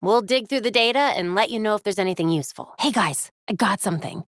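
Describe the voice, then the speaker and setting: An American woman playing a young, wry, determined vigilante hacker in a video game, with quippy and expressive delivery. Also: medium pitch, fast, dry recording, studio quality, close to an everyday voice. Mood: wry, focused.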